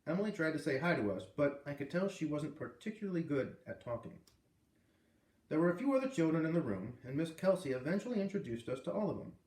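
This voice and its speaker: A man narrating a children's novel aloud in an expressive storybook style, as a read-aloud reader. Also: fairly high pitch, fast talker. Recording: echoey room, distant microphone.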